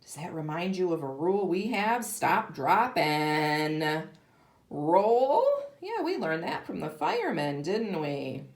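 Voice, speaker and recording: Lady teacher doing storytime for little kids, slow and really animated, bit echoey. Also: lowish voice. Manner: expressive, child-directed, asking questions. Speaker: kindergarten teacher. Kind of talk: read-aloud of a picture book with asides to children. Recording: roomy, echoey sound.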